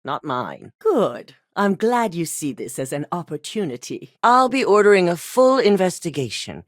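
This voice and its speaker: Cold game CEO: low, expressive voice, icy and commanding, speaks down to her hires. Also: normal speed, no echo, clean studio sound. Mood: stern. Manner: curt, stern, condescending. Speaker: company chief giving orders. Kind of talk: video game voice acting.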